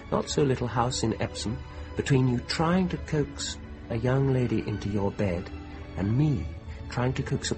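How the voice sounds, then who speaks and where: An actor in a radio play as a smooth, manipulative male dentist, coaxing with deliberate, theatrical persuasion. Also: mid-range voice, natural voice. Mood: pleased, coaxing.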